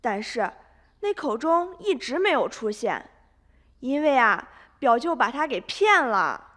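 An earnest young granddaughter. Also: female voice, high voice, very animated, a little slow, a bit bright, full character voice. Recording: reverberant radio drama.

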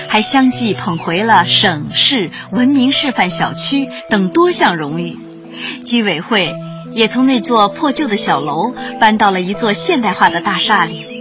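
Music runs strongly behind an actress as a young woman community worker narrating a radio drama fondly. Her pitch is middling and the recording is a little dull. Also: expressive, a little slow, lightly acted. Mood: fond, reflective. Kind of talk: scripted storytelling narration. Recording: dull band-limited audio under prominent music.

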